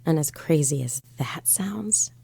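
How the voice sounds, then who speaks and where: Haunted woman motorist, lowish expressive voice, hesitant, audio drama, noisy car ambience. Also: a little slow, heavily acted. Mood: haunted, stunned. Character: uneasy woman driving alone at night, recounting strange events. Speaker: lead actress narrating in first person. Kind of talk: dramatic audio fiction monologue and dialogue. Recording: clear vehicle and road ambience noise.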